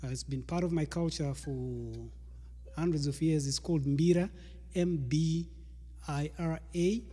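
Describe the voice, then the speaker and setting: Man in his 30s, calm, measured intro, husky low-toned voice with a long reverb tail. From Zimbabwe. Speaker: musician introducing his set. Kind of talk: spoken introduction to a live performance. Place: small indoor studio room with patterned wall hanging. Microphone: microphone on a stand in front of him.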